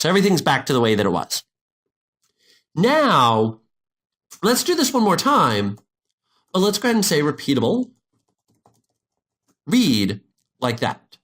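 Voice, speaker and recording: A man narrating a software tutorial step by step, in an informal, explanatory manner. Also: on the high side. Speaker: instructor.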